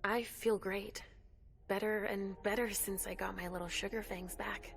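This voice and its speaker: A woman's voice: medium pitch, steady tone, normal speed, creaky, a little hiss or hum, lightly acted. An actress playing a polite, slightly playful vampire woman in a video game cutscene. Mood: pleasant, playful. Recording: faint music behind.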